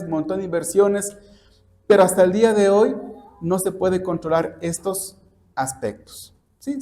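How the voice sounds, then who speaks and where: A man's voice: high voice, darkish tone. A man preaching an expository sermon like a lesson, didactic and often checking understanding with questions. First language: Spanish. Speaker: church preacher and Bible teacher.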